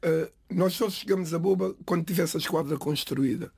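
Middle-aged lisbon guy, cape verdean creole first language, gravelly husky voice, slow halting testimony. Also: fairly high pitch, darkish tone, dry recording, clean studio sound. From Portugal, Lisbon area. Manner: halting, restarts phrases, pauses between words. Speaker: interviewee. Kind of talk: reflective personal testimony.

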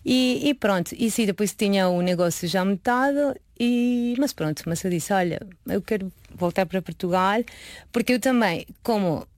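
A woman in a close, dry recording. Her pitch sits in the middle for a woman. She tells her story in a chatty, informal way, voicing both sides of past conversations as an interview guest. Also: normal speed, a little mellow, no room sound. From Mexico. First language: Spanish. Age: late 30s. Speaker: guest, pastry chef. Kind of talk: casual autobiographical storytelling in an interview.

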